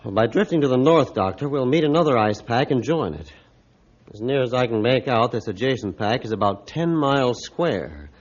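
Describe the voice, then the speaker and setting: A man playing a middle-aged ship's captain, measured, formal and authoritative, in a 1950s radio drama. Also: medium pitch, animated, lightly acted. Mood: grave. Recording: dull 1950s radio recording.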